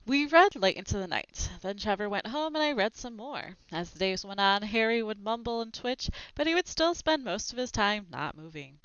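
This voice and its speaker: A woman reading a children's book aloud expressively, in an animated manner, with brief asides as she shows the pictures. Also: mid-range voice, moderate pace, hardly any pauses, smooth, no room sound, highs cut off. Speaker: read-aloud storyteller.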